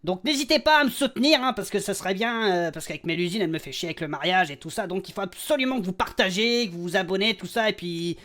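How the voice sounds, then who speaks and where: A performer playing a grumbling, excitable, cowardly adventurer in a comic fantasy audio drama, rambling and whining. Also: medium pitch, quick pace, hardly any pauses, natural voice. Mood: awkward, grumbling. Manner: rambling, hesitant, whiny bluster. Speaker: comic character in a French fantasy audio fiction. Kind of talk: comic audio fiction acting.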